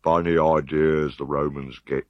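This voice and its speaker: A man voicing a big, good-natured Gaul who talks slowly and ponderously. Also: low-pitched, lively intonation, no echo, lo-fi sound. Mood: baffled. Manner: slow, ponderous, pleading.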